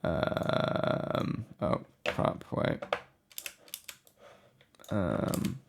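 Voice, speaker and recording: A man giving a casual software tutorial in a hesitant, offhand, unscripted way. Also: very slow, slight background noise. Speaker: music production tutorial host. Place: indoor room with a window.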